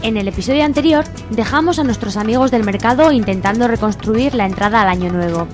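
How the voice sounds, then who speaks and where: A woman's voice: a little high, fast talker. A woman reading scripted narration for a radio soap, with music behind her. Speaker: narrator of a community radio serial.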